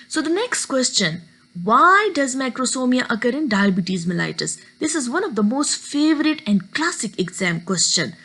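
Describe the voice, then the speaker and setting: Lively high-voiced woman lecturing. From Pakistan. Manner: steady explaining, step by step. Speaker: medical lecturer. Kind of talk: recorded teaching lecture.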